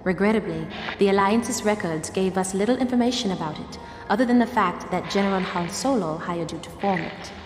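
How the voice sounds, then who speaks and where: An actress playing a polite, precise, inquisitive temple archivist in a sci-fi video game cutscene. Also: female voice, medium pitch, a little fast, hardly any pauses, natural voice. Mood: formal, inquiring. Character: formal, inquisitive female Jedi Temple archivist. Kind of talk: scripted game cutscene dialogue. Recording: echoey hall reverb, faint music.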